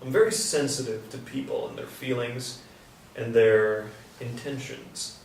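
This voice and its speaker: A man's voice: medium pitch, steady tone, measured pace, close to an everyday voice. A man acting earnest carpenter in a very echoey room. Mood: guarded, challenging. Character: Thomas, sensitive, earnest male carpenter, defends others. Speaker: actor in a staged play reading. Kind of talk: live stage play reading. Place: echoey indoor stage or hall. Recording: very echoey room, distant mic, faint hiss.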